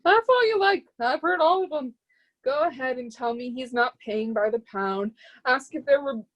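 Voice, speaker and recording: A woman playing a proud, defensive, high-minded woman in a play reading, with an emphatic, declamatory way of talking. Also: expressive, normal speed, a bit bright, poor audio. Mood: proud, defiant.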